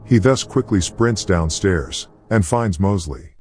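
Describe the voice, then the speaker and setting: A man with a very low-pitched voice delivers scripted movie recap narration. Faint music plays behind him as he tells the story. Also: slow pace, darkish tone.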